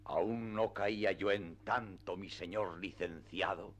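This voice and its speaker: An actor playing a grave, courteous, deluded old knight in a solemn, ceremonious radio theatre style. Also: male voice, deep voice, steady tone, slow, slight background noise. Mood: ceremonious, gracious. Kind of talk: radio theatre acting of classic literature.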